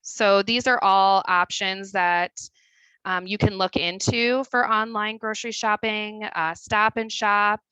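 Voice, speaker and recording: A woman with a Midwestern accent walks through her slides as a dietitian, chatty and full of fillers. Her voice is bright and crisp, sitting a little low, and the sound is dry and close. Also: fairly even intonation, slow pace, no echo, poor audio. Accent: Midwestern American. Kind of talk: webinar presentation. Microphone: video call.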